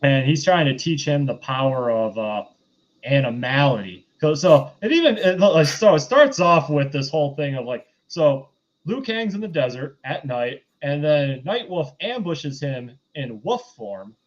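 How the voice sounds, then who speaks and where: A man hosting a review podcast, giving a casual, unscripted recap in a rambling, halting, conversational way. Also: on the high side, very animated. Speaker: podcast host reviewing a film. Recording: dull, lo-fi voice recording.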